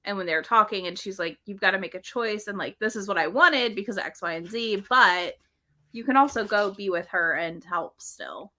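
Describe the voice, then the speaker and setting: A woman's voice: mid-range voice, moderate pace, sharp tone. A woman chatting casually in a podcast book discussion, often voicing her reactions as little quotes. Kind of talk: casual chat.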